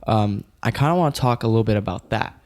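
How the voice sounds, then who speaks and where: A man's voice: on the low side, quick pace, darkish tone. A young man hosting a podcast interview, casual and upbeat, using fillers like "kinda". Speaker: podcast host.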